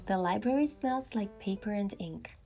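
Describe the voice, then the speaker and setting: A woman narrates a story in the first person from a script. Her voice sits at an average female pitch, with faint music underneath. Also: lively intonation, normal speed, warm tone. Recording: music behind the voice.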